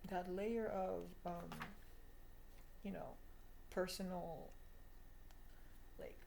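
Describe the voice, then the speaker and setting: A woman's voice: fairly low pitch, fairly even intonation, extremely slow, gravelly, dark tone, slight background noise. A woman of twenty giving a confessional video diary monologue in an emphatic, repetitive, self-deprecating way. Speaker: vlogger.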